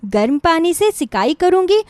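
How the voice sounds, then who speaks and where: A woman's voice: very high voice, very animated, moderate pace, a little mellow, no echo, voice pushed up. A woman voicing a devoted, meek young daughter-in-law in a moral story, respectful and pleading.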